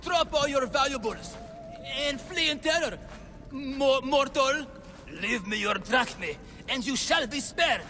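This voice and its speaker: A cowardly fake Minotaur, booming empty threats in a high, bright voice that slows to a crawl. He rings out with a long reverb in a video game cutscene. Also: animated, soft background music, heavily acted. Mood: blustering. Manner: booming fake threats, then meek and stammering. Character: cowardly man faking a roaring Minotaur.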